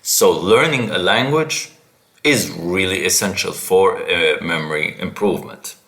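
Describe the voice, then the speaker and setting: A man whose first language is Arabic, hosting a casual solo podcast, haltingly searching for words and repeating them for emphasis. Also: deep voice, pushed and bright. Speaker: podcast host.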